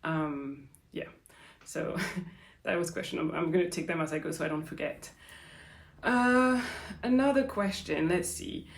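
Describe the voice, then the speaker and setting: A woman's voice: deep voice, a little slow, creaky. Chatty French-accented woman in a roomy space. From France. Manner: chatty, lots of fillers and likes. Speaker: podcast host. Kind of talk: casual Q&A chat. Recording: roomy echo.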